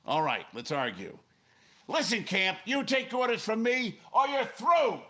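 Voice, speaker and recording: An actor playing a brisk, commanding newspaper editor in a 1950s-style radio drama, crisp and authoritative. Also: male voice, sits high, very animated, bright, forceful tone, exaggerated voice. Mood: angry. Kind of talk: 1950s radio drama acting with first-person narration.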